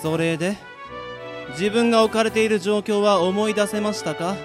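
Proud Cerberus beast man acting, composed, politely sardonic, lighter high register, radio drama with soft music underneath. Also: steady tone, moderate pace, close to an everyday voice. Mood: calm, dry. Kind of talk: anime-style amateur radio drama acting.

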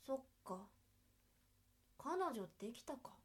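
A woman's voice: high-pitched, sing-song, slow, full character voice. A woman playing a teenage girl in a radio drama, a childhood friend who hides her feelings, with music behind. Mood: subdued, wistful. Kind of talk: amateur radio drama acting.

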